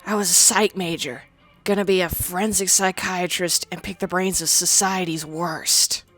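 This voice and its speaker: A woman's voice: on the low side, steady tone, normal speed, fairly bright tone, soft background music. A woman voicing a sardonic, crude young female ghoul, a former psychology student, in a game dialogue. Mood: wry. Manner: blunt, crude and defensive. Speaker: companion character. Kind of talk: fan-voiced game dialogue.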